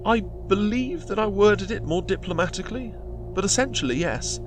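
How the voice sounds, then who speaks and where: Man playing a formal android with swooping pitch. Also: lively intonation, moderate pace, over music. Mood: coolly matter-of-fact. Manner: stiff, precise, formal diction. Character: formal, tactless male android, coolly literal. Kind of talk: science-fiction audio drama acting.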